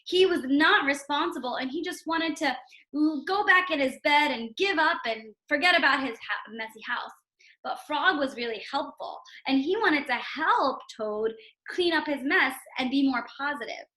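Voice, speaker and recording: A woman's voice: high voice, moderate pace, pushed and bright. A woman teacher giving a read-aloud lesson for young children, instructive and emphatic, often checking in with a questioning tag. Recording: roomy, echoing sound.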